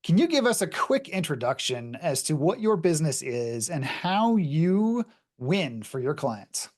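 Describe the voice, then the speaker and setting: Upbeat podcast host man, higher voice. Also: sing-song, a little slow. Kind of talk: interview hosting.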